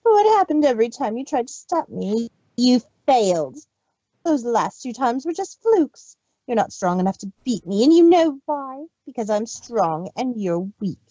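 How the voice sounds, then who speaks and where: A woman's voice: a little high, big pitch swings, moderate pace, dark tone, husky, no echo, lo-fi sound. A woman voicing a cruel, domineering cartoon pony villain, taunting and emphatic, in an amateur fan fiction reading. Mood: gloating, taunting.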